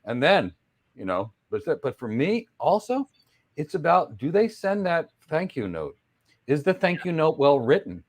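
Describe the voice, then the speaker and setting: A man's voice: a little high, measured pace, breathy edge. Greek guy hosting a career talk, voice jumps all over, emphatic. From Greek background. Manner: animated, emphatic storyteller. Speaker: host. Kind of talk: casual interview chat.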